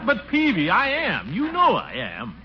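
An actor playing a pompous, blustering middle-aged bachelor in a 1940s radio sitcom, vain about his singing and quick to take offense. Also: wildly swooping pitch, exaggerated voice. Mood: wheedling. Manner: blustery, indignant, with big swoops in pitch. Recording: dull old radio broadcast with faint hiss.

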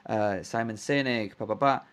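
A man hosting a podcast, chatting casually and warmly echoing his guest's words back. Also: fairly low pitch, moderate pace, smooth. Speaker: podcast host. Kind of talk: casual interview chat.

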